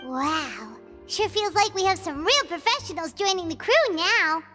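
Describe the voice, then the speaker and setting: A woman voicing a small, excitable, childlike companion creature in a video game, with a squeaky voice. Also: a little slow, big-room sound, faint music behind, heavily acted. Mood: impressed.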